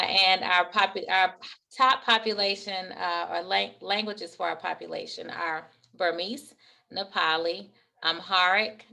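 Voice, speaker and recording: A woman's voice: medium pitch, gravelly, bright, forceful tone. A woman school principal giving an earnest webinar presentation, speaking with pride about her school's work. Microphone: remote webinar audio.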